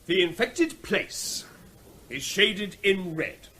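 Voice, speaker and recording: A man's voice: sits high, animated, takes it slowly, bright, forceful tone, a little hiss or hum, full character voice. An actor playing a firm male veterinary officer briefing a restless public meeting, raising his voice over hecklers in a vintage radio drama. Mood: insistent.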